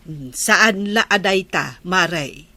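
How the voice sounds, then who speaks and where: A woman's voice: lowish voice, slow, pushed and bright, no echo, exaggerated voice. A woman playing a nosy village lady in an Ilocano Filipino comedy drama. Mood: sly, chatty.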